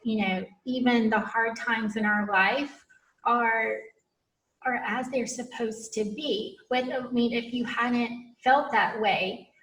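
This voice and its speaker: A woman's voice: a little high, fairly even intonation, slow pace, fairly bright tone. Effusive woman host, roomy distant audio. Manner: effusive, encouraging. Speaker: podcast host. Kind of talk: supportive interview hosting.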